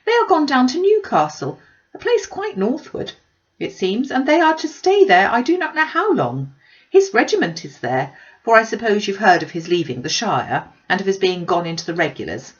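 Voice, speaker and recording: Woman voicing a shrill, gushing, fussy mother. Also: very animated, normal speed, muffled recording, close to an everyday voice. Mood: boastful, aggrieved. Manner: effusive, complaining, emphatic. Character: gushing middle-aged mother, fussy, chattering, pushy. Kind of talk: audiobook character voice.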